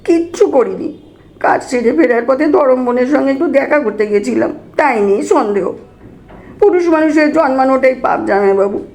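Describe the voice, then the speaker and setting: A woman's voice: high-pitched, expressive, moderate pace, darkish tone, pitched above the performer's own voice. A woman voicing a meek, aggrieved male servant in an audio story, talking in a plaintive rustic way.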